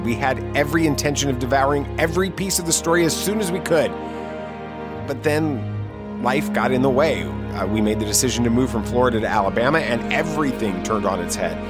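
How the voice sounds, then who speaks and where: A man hosting a podcast, delivering scripted narration to his listeners. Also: medium pitch. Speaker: podcast host. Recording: loud guitar music under voice.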